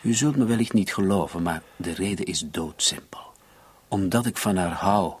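Very husky, defensive suspect in his thirties. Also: low voice, moderate pace. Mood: earnest, subdued. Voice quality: very husky, breathy. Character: defensive, irritated 37-year-old male murder suspect in love. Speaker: actor in a radio play. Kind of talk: Dutch-language radio drama dialogue.

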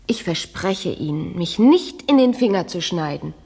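Husky, teasing young gentlewoman. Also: on the low side, expressive, unhurried, in a large hall, heavily acted. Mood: brisk, mocking. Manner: mocking, playful. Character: spirited, teasing, sharp-tongued young gentlewoman. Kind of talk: German radio play acting.

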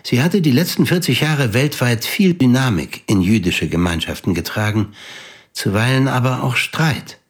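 A man's voice: mid-range voice, animated, normal speed, slightly rough. A man narrating an audio drama from a script in a composed, matter-of-fact manner.

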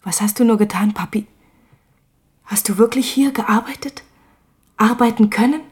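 An actress plays a grown daughter in a radio drama, distraught and reproachful toward her father. Her young woman's voice sits a little high. She speaks with urgency, sometimes breaking into whispers. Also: moderate pace, natural voice. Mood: shocked, reproachful. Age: young adult.

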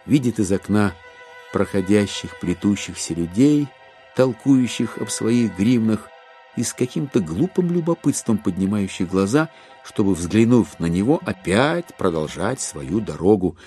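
A male narrator with a fairly low voice reads literary prose. His delivery is theatrical and very varied in melody, with soft music underneath. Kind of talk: expressive literary narration of a classic story.

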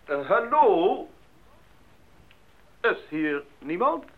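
An actor playing a decisive, concerned mission doctor in a Dutch radio drama. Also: male voice, high-pitched, very animated, drawn-out words, heavily acted. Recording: dull recording with clear background noise.

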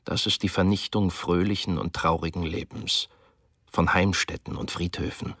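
A man's voice: low-pitched, fairly even intonation, moderate pace, fairly bright tone, no echo, clean studio sound. A man giving a solemn reading of a wartime text for a radio documentary, mournful and declamatory. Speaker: reader of a translated wartime text.

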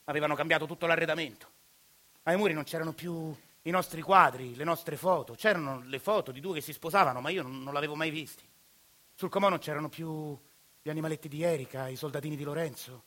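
A man's voice: a little high, fast talker, a bit bright. A bitter Roman family man. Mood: wistful. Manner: bitter, sardonic storytelling, rising to outbursts. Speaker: actor in a monologue. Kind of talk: theatrical radio monologue.

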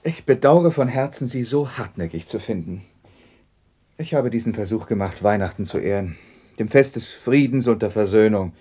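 A man playing a warm-hearted, generous nephew in a radio drama, earnest and pleading. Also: fairly low pitch, expressive, moderate pace, breathy edge. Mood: earnest, hearty. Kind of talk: 1960s German radio drama acting.

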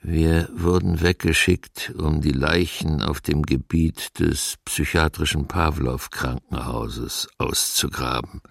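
An actor playing a grave male eyewitness giving sombre, measured testimony in a documentary radio play. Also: very deep voice, steady tone, vocal fry, fairly bright tone, dry recording, clean studio sound, exaggerated voice. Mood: grave, haunted. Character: grave male eyewitness recalling forced labour among the dead. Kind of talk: sombre first-person testimony, read dramatically.

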